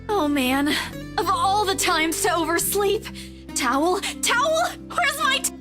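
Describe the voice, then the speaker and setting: A woman playing a scatterbrained, friendly young woman in a visual novel game, expressive and flustered. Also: very high-pitched, measured pace, exaggerated voice. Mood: groggy, hurried. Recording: music behind the voice. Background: game music.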